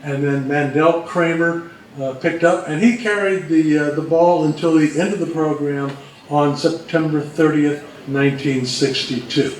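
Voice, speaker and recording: A man's voice: a little high, fairly even intonation, measured pace. A man presenting history informally, in a hesitant, matter-of-fact storytelling way. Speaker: co-presenter of a talk on old-time radio history. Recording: echoey room from far off, faint noise.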